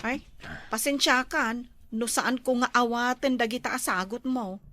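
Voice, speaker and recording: A woman's voice: a little high, a little slow, pushed and bright, no echo, soft background music. A young woman playing a gullible village sweetheart who talks in a bubbly, easily surprised way. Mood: chatty.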